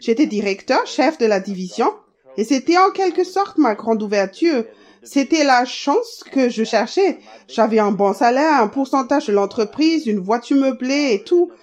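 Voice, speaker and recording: Woman reading a steady voice-over. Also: sits high, moderate pace. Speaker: voice-over translator. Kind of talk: read French voice-over of a testimony video.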